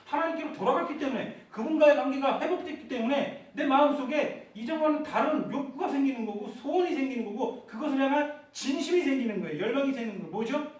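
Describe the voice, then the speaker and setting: A man preaching a sermon as pastor, asking the congregation questions and rising to fervent, shouted prayers. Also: very high-pitched, animated, fast talker, few pauses. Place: church sanctuary. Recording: echoey church hall heard from far off.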